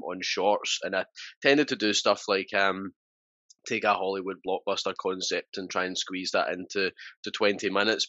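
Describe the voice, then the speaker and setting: A man's voice: sits low, sharp tone, no room sound, muffled recording. A man from Scotland, a filmmaker guest chatting casually in an interview, with plenty of filler sounds.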